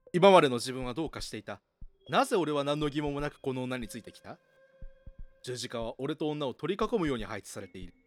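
Man narrating a radio drama, widely swinging pitch. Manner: dramatic, suspenseful storytelling. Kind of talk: scripted first-person radio drama narration.

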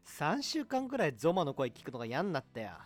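A man's voice: fairly high pitch, wildly swooping pitch, fast talker, faint music behind. A man playing a smug, girl-crazy young braggart in an amateur comic radio drama. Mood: smug, boastful. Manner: pompous, theatrical boasting.

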